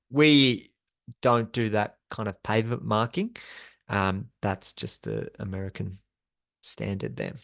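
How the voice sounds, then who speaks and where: A man's voice: on the low side, vocal fry, a bit bright. A man presenting a slide-based training session as an instructor, explaining things in an informal, conversational way.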